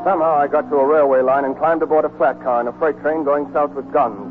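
A man's voice: fairly high pitch, fairly even intonation, fast, over loud music, natural voice. An actor playing a weary American army deserter narrating his wartime love story in a 1940s radio drama. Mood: grim narrating. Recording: muffled, noisy 1949 radio broadcast recording.

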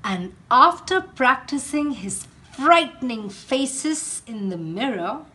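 A woman with an Indian English accent, a teacher reading a picture book aloud in a very slow, expressive storytelling way. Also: high voice.

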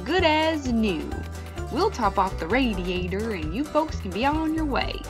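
A woman's voice: on the high side, very animated, unhurried, music in the background. A woman voicing an older, helpful bear mechanic uncle in a storybook, with folksy drawling talk. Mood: easygoing, helpful.

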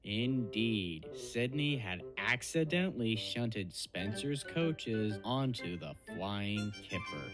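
A man narrating a children's train story in an expressive, scripted storybook style. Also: on the low side, slow, few pauses, over music.

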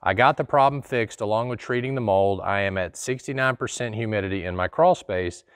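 Host type: middle-aged man, lower voice, dry studio sound, relaxed explainer. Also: brisk, clear, resonant tone, no echo. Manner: conversational, folksy, answers a viewer question. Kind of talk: casual explanatory talk to camera. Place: small studio in front of a plain wall.

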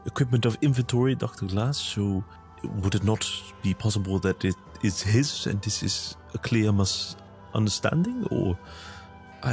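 A man voicing a polite, timid gentleman who speaks in formal, wordy, hesitant sentences. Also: deep voice. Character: polite, timid, fussy gentleman avoiding trouble.

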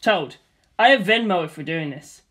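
A man reading a comedic screenplay aloud in a flat, deadpan manner. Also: high-pitched, moderate pace. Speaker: reader of a script.

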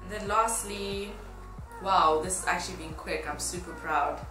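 Low-pitched young woman, roomy vlog sound. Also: moderate pace, bright, forceful tone. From Tanzania. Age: 20s. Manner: upbeat, informal. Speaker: fashion blogger. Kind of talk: casual chat to camera. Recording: roomy echo, music behind. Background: music.

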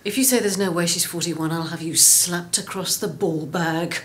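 An actress playing a sharp, sarcastic, middle-aged British boss with a foul mouth in a comedy soap. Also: female voice, on the low side, normal speed, close to an everyday voice. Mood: scathing. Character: furious, foul-mouthed woman boss of a radio drama office. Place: office, indoors.

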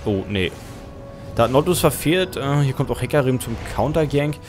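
A young man with a German accent commentates a game from his desk in a loose, rambling way, thinking out loud and fixing his own slips. Music plays clearly underneath his voice. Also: mid-range voice, animated, moderate pace. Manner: chatty, self-correcting, thinks aloud. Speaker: game caster.

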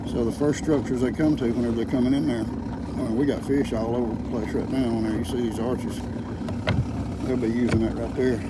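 A man in his sixties with a Southern US accent, explaining fishing in a casual, folksy, unhurried way as a vlogger. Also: medium pitch, fairly even intonation. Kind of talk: casual outdoor vlog talk and explanation. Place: open fishing boat on a lake, partly in a vehicle. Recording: loud boat motor and outdoor noise.

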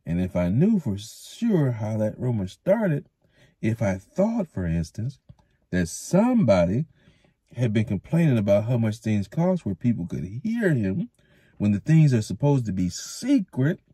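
A man's voice: fairly low pitch, big pitch swings, measured pace, warm tone, slightly rough, no echo, voice pushed up, exaggerated voice. A man voicing a stout, jovial middle-aged mayor and innkeeper in a storybook reading, speaking with hearty authority. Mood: pointed. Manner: hearty, knowing.

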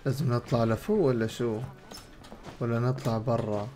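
Young man, Levantine Arabic accent, mid-pitched, casual gaming streamer commentary, clear background game noise. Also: fairly even intonation, moderate pace, dark tone. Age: young adult. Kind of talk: casual game commentary, loosely translating dialogue. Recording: game audio and noise behind voice. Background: video game sounds.

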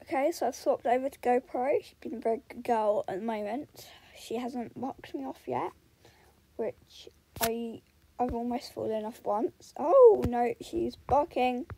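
A woman's voice: fairly high pitch, a little slow, vocal fry, warm tone, a little hiss or hum. A young woman giving casual vlog commentary while riding, breaking off into short soothing commands and murmured praise to a horse. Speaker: horse rider in a voiced role-play video.

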